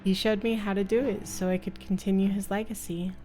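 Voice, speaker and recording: A woman's voice: medium pitch, flat delivery, brisk, dark tone, soft background music, close to an everyday voice, poor audio. A woman voicing a placid, sentimental woman gravedigger in a story game, mild and matter-of-fact. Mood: placid, wistful.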